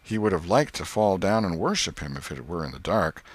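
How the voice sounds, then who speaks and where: A man narrating a literary story as an audiobook, in a measured, expressive and wry manner. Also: sits low, slightly rough.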